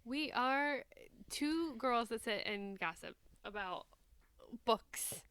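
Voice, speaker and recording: A woman's voice: fairly high pitch, expressive, takes it slowly, a bit bright, smooth, no echo. A woman with an American Midwestern accent co-hosting a podcast, chatting casually in a candid, gossipy, self-deprecating way. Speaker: podcast co-host. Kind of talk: casual chat.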